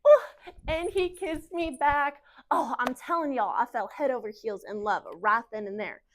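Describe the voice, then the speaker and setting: Casting: woman playing naive young wife, slightly high voice, confiding and halting, emotional stage monologue. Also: a little hiss or hum, close to an everyday voice. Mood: lovestruck, dreamy. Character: anxious young Southern wife, naive, defending her husband. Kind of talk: dramatic monologue in speech competition.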